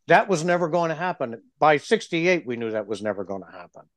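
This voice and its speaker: A man's voice: fairly high pitch, sing-song, a little fast, no room sound. Stuffy-voiced male podcast host. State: stuffy, froggy from cold medicine. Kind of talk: casual interview chat.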